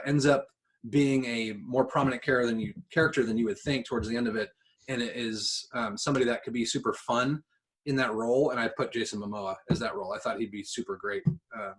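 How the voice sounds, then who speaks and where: A man's voice: medium pitch, a bit bright. A man co-hosting a casual podcast, talking enthusiastically and swearing freely. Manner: profane, enthusiastic. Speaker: podcast co-host.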